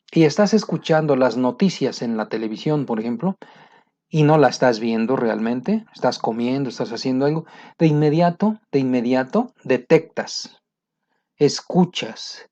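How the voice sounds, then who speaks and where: Middle-aged Latin American man from a teaching video, conversational, plenty of filler pauses, mellow tone, muffled lo-fi sound. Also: mid-range voice. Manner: conversational, pausing often with fillers. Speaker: English teacher. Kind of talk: motivational video lesson. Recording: muffled lo-fi recording.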